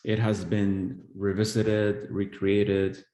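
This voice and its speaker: A man in his forties from El Salvador, an artist giving a live-streamed talk on his work, who speaks haltingly with many pauses and restarts. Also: on the low side, flat delivery, dark tone. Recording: echoey room.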